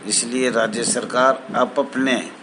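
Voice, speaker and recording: Male, mid-pitched, slow and halting association spokesman explaining procedure formally, with clear traffic-like noise behind him. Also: level tone. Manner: halting, formal honorifics, repeats phrases. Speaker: home guard association spokesman. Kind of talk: informal explanatory address to association members.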